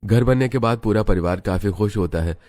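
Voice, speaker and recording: A man's voice: lowish voice, brisk, a little mellow, soft background music. A man giving scripted narration for a Hindi cartoon story.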